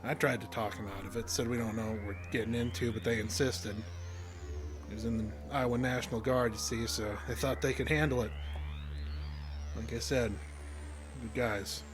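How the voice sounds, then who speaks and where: A man acting as a weary backwoods American guide in a horror radio play, haunted and uneasy. He speaks plainly and folksily in a mid-pitched voice, with music running clearly underneath. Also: fairly even intonation, moderate pace, close to an everyday voice. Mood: grim, recounting. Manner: folksy, ungrammatical storytelling. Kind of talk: audio drama acting.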